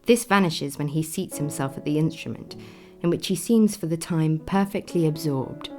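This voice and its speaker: An actress voicing a formal Victorian newspaper critic, reading deliberately in a radio play. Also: female voice, deep voice, warm tone, soft background music. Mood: formal, appraising. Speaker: reader of newspaper notices. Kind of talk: dramatised reading of 19th-century newspaper.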